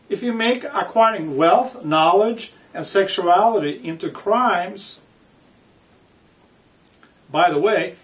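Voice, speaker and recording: A man reading his own book aloud with commentary, speaking in deliberate, emphatic phrases broken by pauses. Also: high voice. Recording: distant microphone.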